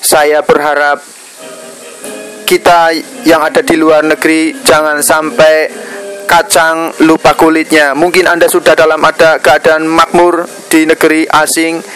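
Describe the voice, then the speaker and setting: This is a man with a Javanese Indonesian accent and a voice pitched a little high. He speaks directly to his viewers as a video blogger. His delivery is earnest and a touch preachy, and it often halts in the middle of a phrase. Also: level tone, a bit bright, soft background music, poor audio. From Indonesia, Java. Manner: halting, pausing mid-phrase. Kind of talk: earnest spoken commentary addressed to viewers.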